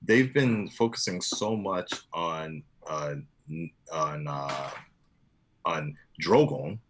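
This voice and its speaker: A young man chatting casually in an office, keeping his voice low and subdued as he reviews an episode. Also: slow.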